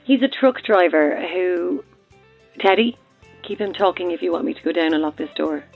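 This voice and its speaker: Practical radio producer, audio drama, brisk and familiar, narrow intercom sound with quiet music underneath. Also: female voice, fairly low pitch, vocal fry. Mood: businesslike. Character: no-nonsense, exasperated but supportive female radio producer. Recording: thin talkback sound, faint music behind.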